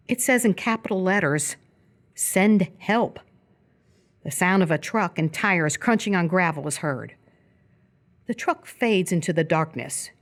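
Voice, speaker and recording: A woman reading scripted stage directions as the narrator of a live radio theatre piece. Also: fairly low pitch. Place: live stage performance before an audience.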